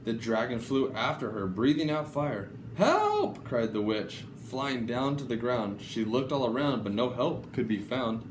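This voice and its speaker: A man reading a rhyming children's story aloud in an expressive narrating style. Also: medium pitch, moderate pace, hardly any pauses. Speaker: storybook reader. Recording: roomy echo, faint background noise.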